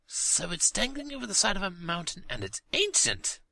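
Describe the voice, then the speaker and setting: A man's voice: high-pitched, wildly swooping pitch, moderate pace, creaky, dry recording, voice pushed up, full character voice. A man voicing a wary, guarded hoofed creature who speaks in a dry, guarded way. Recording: loud music behind.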